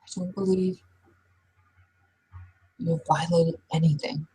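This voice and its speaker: Woman playing a mellow, husky, caring friend. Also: deep voice, little pitch movement, a little slow, heavily acted, poor audio. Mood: protective, firm. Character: caring female werewolf friend, protective, gently instructing.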